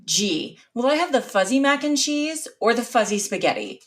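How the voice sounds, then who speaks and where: A woman's voice: fairly high pitch, very animated, moderate pace. A woman playing a weary, sarcastic, exasperated mother in an audio drama.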